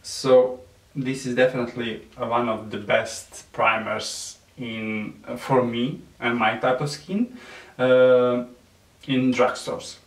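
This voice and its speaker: A man with a Serbian accent, whose first language is Serbian, gives a makeup tutorial in halting English with many fillers and soft nervous laughs. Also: mid-range voice, steady tone. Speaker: beauty vlogger. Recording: echoey room, mic at a distance.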